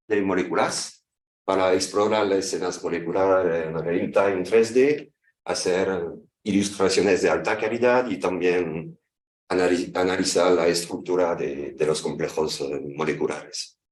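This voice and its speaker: A man in his thirties from France, speaking with a French accent as a guest lecturer, pausing and correcting himself often as he gives an academic talk in a language that is not his first. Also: sits low, darkish tone, roomy sound, recorded from a distance. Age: 30s. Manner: halting, frequent self-corrections.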